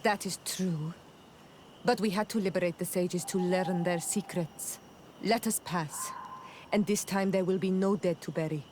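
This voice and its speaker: A woman's voice: fairly low pitch, fairly even intonation, a little slow, a little mellow, slight background noise, lightly acted. A woman playing a determined, persuasive clan companion in a fantasy video game. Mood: firm, reassuring.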